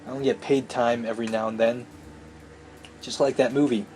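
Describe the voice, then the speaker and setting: A man with a soft-edged, mellow voice reads a visual novel aloud for a let's play. He slips between narration and casual, irreverent asides. Some background noise sits under his mid-pitched voice. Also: steady tone, a little fast. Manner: irreverent, sweary.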